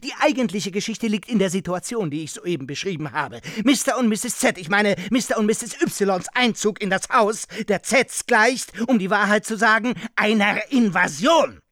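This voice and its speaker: An actor playing an indignant, self-righteous, pedantic homeowner in a radio play, speaking pompously and with heavy emphasis. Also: male voice, high voice, normal speed, few pauses, a bit bright, no echo, studio quality. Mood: indignant, accusing. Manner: pompous, emphatic, repeating key phrases for weight. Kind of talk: German radio play acting.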